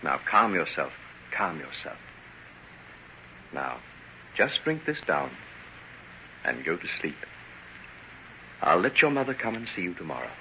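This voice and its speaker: Forceful, domineering gentleman doctor. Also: sits low, very animated, measured pace, pauses often, exaggerated voice. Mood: soothing, controlling. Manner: commanding, theatrical, condescending. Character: domineering, stern gentleman doctor feigning soothing concern. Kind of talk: old-time radio melodrama acting. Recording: muffled, noisy vintage radio recording.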